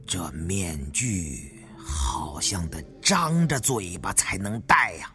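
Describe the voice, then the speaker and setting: A man's voice: low-pitched, animated, slow, sharp tone, soft background music, voice pushed down, heavily acted. A man voicing a cautious, learned male advisor to tomb raiders in an audiobook. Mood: grave, pondering.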